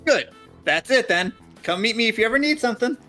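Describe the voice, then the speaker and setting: A man's voice: very high voice, animated, brisk, sharp tone, soft background music, pitched above the performer's own voice, exaggerated voice. A man voicing a smiling male doctor in a visual novel, formal and reassuring as he gives advice. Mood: cheery, brisk.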